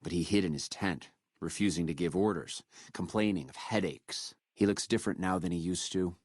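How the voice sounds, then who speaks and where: Captive military officer, low-pitched, menacing, proud, scornful, measured, video game dialogue, dry recording. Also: male voice, a little mellow, no room sound. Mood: guarded, grave. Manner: contemptuous, measured, menacing delivery.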